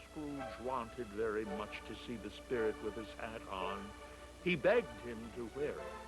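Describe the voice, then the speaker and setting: A male narrator reads a scripted storybook. His delivery is slow, measured and dramatic, and music plays clearly behind him. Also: lowish voice, expressive, rough recording.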